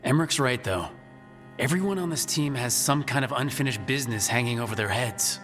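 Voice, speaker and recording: Game hero played by a man: mid-pitched, steady, reassuring team leader, in long reverb. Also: a little fast, natural voice. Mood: resolute. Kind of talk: video game dialogue acting. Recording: long hall reverb, music underneath.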